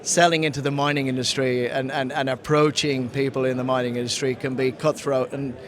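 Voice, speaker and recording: A man's voice: mid-range voice, level tone, a little fast. A man with an Irish accent, who grew up in Ghana, giving a casual interview and often restarting his sentences as he searches for words. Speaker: interview guest, sells to mining industry. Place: trade conference floor. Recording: busy conference crowd behind.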